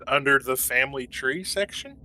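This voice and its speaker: A man's voice: mid-range voice, expressive, moderate pace, bright, forceful tone, a little hiss or hum. A middle-aged man trading dry, teasing banter with friends during an online game. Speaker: gamer chatting with guildmates. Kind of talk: casual gaming banter.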